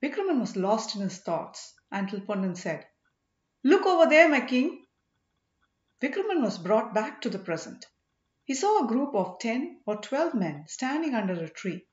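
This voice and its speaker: A woman's voice: medium pitch, sing-song, normal speed, a little mellow. A woman reading a story aloud as scripted audiobook narration. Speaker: audiobook reader. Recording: roomy, slightly dull home recording.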